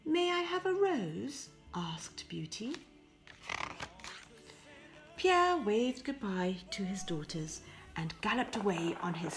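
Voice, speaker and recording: A woman reading a fairy tale as expressive, deliberate narration, with hushed phrase endings. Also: on the low side. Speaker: storybook reader.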